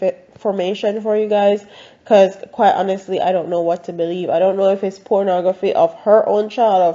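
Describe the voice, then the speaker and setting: A woman's voice: mid-range voice, mellow, dull audio. Stuffy-nosed Jamaican woman reading news. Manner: blunt, opinionated asides between read-out reports. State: stuffy, congested from a cold. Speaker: news commentary vlogger. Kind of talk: reading news stories aloud with personal commentary.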